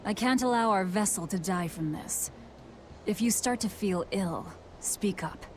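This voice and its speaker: A woman's voice: mid-range voice, a little slow, a little mellow, a little hiss or hum, natural voice. An actress playing a stern, no-nonsense woman who leads a crew in a video game, blunt and impatient.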